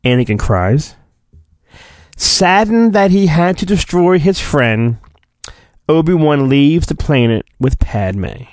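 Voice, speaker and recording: Hammy, slow-paced man reading a storybook. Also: on the high side, very animated, darkish tone, dry recording, clean studio sound. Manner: hammy, theatrical, drawn-out phrasing; goofy, rambling asides. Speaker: podcast host reading a storybook aloud. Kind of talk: mock-dramatic storybook reading with joking asides.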